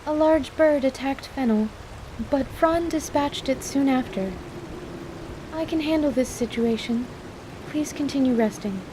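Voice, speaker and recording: A woman playing a calm, clinical surgeon in an audio drama, speaking formally and precisely. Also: sits high, unhurried, background noise. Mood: calm, reassuring. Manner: formal, precise, articulate diction.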